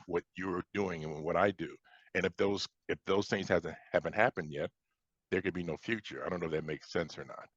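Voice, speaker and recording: An American man from Chicago speaking as an interviewed author, explaining things conversationally in a halting way with repeated starts. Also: low voice, fairly bright tone. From Chicago, United States. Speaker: science fiction author being interviewed. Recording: dull, roomy lo-fi sound.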